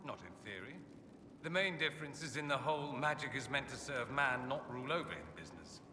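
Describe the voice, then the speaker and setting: Video game mage, male, played by an actor: suave, haughty, sardonic, highly animated intonation, recorded distant in a reverberant hall. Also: brisk, natural voice. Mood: sardonic, amused. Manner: suave, sardonic, theatrically arch. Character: witty, haughty adult male mage from a fantasy empire. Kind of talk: video game character dialogue. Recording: echoey hall, clear background ambience noise.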